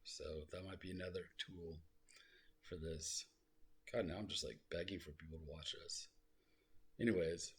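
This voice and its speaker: A man rambling through his own life story in a soft, low voice he calls soothing, full of fillers and asides. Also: fairly even intonation, moderate pace, long pauses, slightly rough. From South Lake Tahoe, California. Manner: rambling, self-deprecating, full of fillers and digressions.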